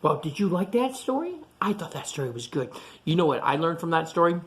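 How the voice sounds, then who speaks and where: A woman reading a picture book aloud to children, chatting to them in animated asides and questions. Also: low-pitched, fairly quick, slight background noise. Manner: animated asides to young listeners, asking questions. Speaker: storytime reader.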